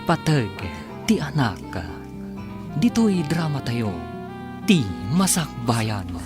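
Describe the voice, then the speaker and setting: Animated male radio drama announcer over music. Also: fairly high pitch, slow pace. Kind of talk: scripted dramatic radio narration. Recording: music under the voice. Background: guitar and instrumental music.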